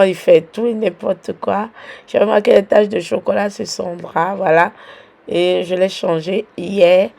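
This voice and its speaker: A young woman from Benin with a Beninese French accent, chatting unhurriedly through a home vlog while nursing a cold and a sore throat, filling her pauses with "voilà". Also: medium pitch, vocal fry, warm tone. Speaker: mom vlogger. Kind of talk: casual home vlog narration.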